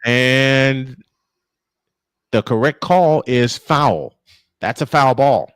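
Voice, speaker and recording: A man hosts a live baseball broadcast as play-by-play announcer. His voice sits at a middle pitch and is recorded dry and close, moving between calling the action and chatting. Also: very slow, fairly bright tone, smooth, no room sound. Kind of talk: live baseball commentary with chat.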